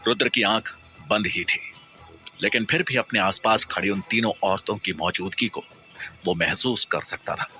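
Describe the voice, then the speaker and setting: A man reads a dramatic horror story with theatrical suspense. His voice sits on the low side but is very bright and forceful. Music plays softly behind him. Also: moderate pace.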